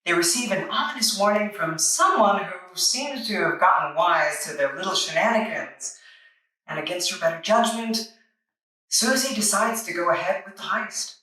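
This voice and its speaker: A woman's voice: on the low side, moderate pace, bright, forceful tone. A woman narrating a scripted comic book review in a wry, tongue-in-cheek, playful way. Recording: echoey room, distant microphone.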